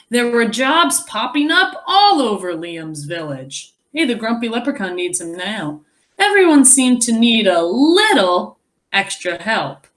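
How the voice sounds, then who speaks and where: A woman teacher reading a children's story aloud expressively, pausing to explain and wonder aloud. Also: a little high, a bit bright. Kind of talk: animated children's read-aloud with asides.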